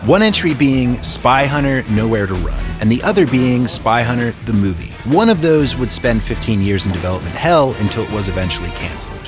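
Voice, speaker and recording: Lively male narrator over background music. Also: mid-range voice, a little fast, hardly any pauses. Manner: dry, sarcastic asides. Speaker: video essay narrator and host. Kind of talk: scripted narration, film and game history.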